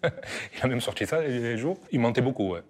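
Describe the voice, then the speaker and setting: A man's voice: medium pitch, animated, normal speed, over loud music. A man talking about an old friend in a casual interview, chatty and full of fillers. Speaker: childhood friend.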